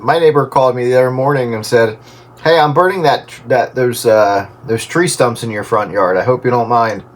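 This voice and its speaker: A man's voice: mid-range voice, fairly quick. A man joking and chatting casually with others over a two-way radio. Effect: two-way radio transmission. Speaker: amateur radio operator.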